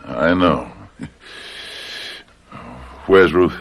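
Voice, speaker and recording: An actor playing a weary, downtrodden farmhand husband in a radio drama, anxious and quick-tempered, halting and repeating himself. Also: male voice, low voice, gravelly, muffled recording, heavily acted.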